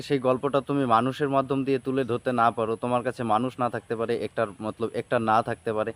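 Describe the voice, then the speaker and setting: A man narrating an informal video tutorial, addressing viewers familiarly and often repeating himself and his catchphrases. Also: mid-range voice. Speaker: tutorial presenter.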